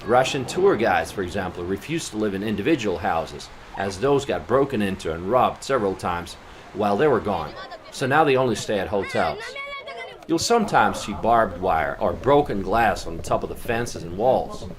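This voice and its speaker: Low-voiced man narrating a travel video. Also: expressive, normal speed, crowd noise. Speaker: travel vlogger. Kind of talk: scripted voice-over narration.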